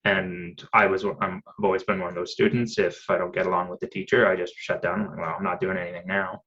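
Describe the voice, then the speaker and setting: A man's voice: low voice, flat delivery, fast talker, fairly bright tone, lo-fi sound. A man, chatting casually in a rambling way with lots of fillers and restarts. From Canada. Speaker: podcast guest. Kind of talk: casual conversation.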